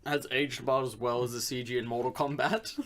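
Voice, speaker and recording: A man co-hosting a casual film discussion, reasoning in a halting way and repeating words. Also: medium pitch, fairly even intonation, a bit bright, a little hiss or hum. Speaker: podcast co-host.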